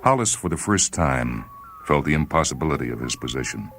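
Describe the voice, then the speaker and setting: A man reading a short story as dramatic narration, grave and measured, with theatrical emphasis. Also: extremely low pitch, sing-song, fairly bright tone, soft background music. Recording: faint noise and ambient sound bed. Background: faint ambient sound.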